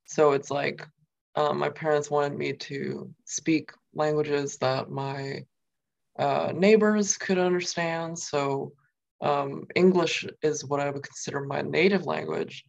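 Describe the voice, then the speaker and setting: A woman's voice: low voice, slow, vocal fry, darkish tone, muffled recording. A young woman from New York, talking casually as an interview guest and filling her speech with "like" and "um". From New York, USA. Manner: rambling, many fillers and "like". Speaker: guest poet.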